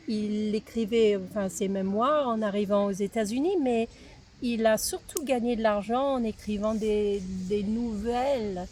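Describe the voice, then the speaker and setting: A woman with an American accent, a guest in an interview, who speaks haltingly and fills pauses often. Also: a little high, dark tone, slight background noise. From United States. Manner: hesitant, halting with many fillers. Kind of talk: casual interview.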